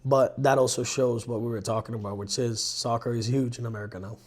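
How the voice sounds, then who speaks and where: A man in his thirties from Colombia, telling stories in a rambling, animated way on a casual podcast. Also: mid-range voice, fast. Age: 30s. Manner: rambling, animated storyteller with restarts. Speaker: podcast co-host. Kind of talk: casual chat.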